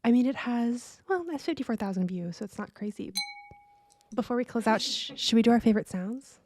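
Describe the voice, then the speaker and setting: A woman with a fairly high voice chats as a podcast co-host in a very dry recording. She is candid and slangy, and is apt to slip into whispering. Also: animated, brisk, darkish tone, no room sound. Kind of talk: casual chat.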